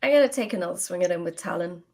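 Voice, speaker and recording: Low, gravelly woman playing a tabletop game. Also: animated, rapid-fire, a little mellow. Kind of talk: casual game chat.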